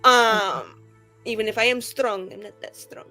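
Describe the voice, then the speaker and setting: A woman's voice: a little high, a little slow, sharp tone, faint music behind. A woman playing a tabletop roleplaying game, improvising in character in an informal, exasperated and emphatic way.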